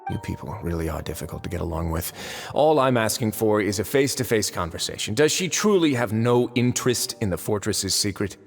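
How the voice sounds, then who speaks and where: An actor playing a suave, calculating fortress lord in a game, speaking with measured courtesy and veiled menace. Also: male voice, on the low side, lively intonation, vocal fry. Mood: stern. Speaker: voice actor in a dubbed video game. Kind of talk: theatrical game cutscene dialogue. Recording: long reverb under game music.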